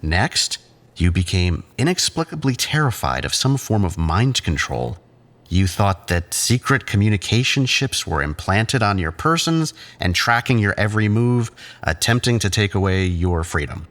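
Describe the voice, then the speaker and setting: A male actor playing a condescending, controlling psychiatrist who talks in patronizing, measured therapy-speak in an audio drama. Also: fairly low pitch, big pitch swings, a bit bright. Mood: clinical, controlling.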